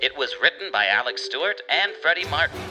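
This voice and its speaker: A man's voice: a little high, big pitch swings, a little fast, poor audio. A man reading dramatic scripted narration as the narrator of a radio play. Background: music.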